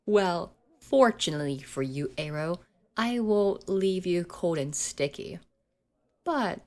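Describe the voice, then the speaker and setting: A woman's voice: lowish voice, darkish tone. A woman acting a mocking, domineering schoolgirl bully in an ASMR roleplay, condescending and teasing with menace. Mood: mock-sweet, menacing.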